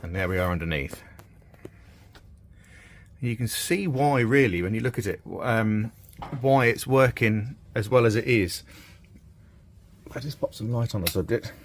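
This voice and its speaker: A man's voice: fairly low pitch, unhurried, pauses often. A British man in his fifties chatting casually and thinking aloud as he gives a hands-on workshop demonstration. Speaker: vintage radio repairer presenting a video. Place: small cluttered electronics workshop, indoors. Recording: clearly noisy workshop with background ambience.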